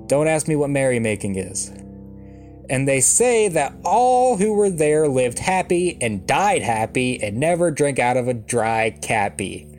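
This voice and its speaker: A man's voice: medium pitch, a little mellow. A man reading a fairy tale as a storybook narrator, breaking off for dry, joking asides. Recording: plucked guitar music behind the voice. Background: plucked guitar music.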